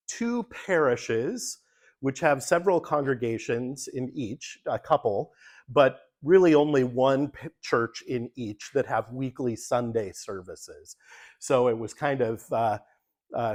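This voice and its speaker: A man, a pastor, talking casually through a slide presentation at a church forum, with frequent ums and uhs. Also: mid-range voice. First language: English. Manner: relaxed, many ums, talks through slides.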